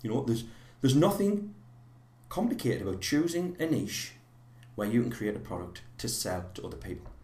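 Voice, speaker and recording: A man with a slightly hoarse voice from a cold, teaching a live online course in a rambling way with many fillers and restarts. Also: mid-range voice, very animated, moderate pace, a little mellow, reverberant.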